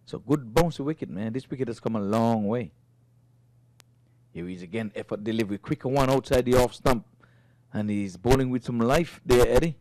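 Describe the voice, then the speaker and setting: A man giving live cricket commentary from the booth, animated and opinionated, laughing between remarks. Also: mid-range voice, moderate pace, no room sound.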